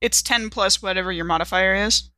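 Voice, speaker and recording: A woman improvises casual banter as a player in a tabletop game. Her voice is bright and forward, with animated delivery. The recording is very dry. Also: medium pitch, a little fast, no room sound.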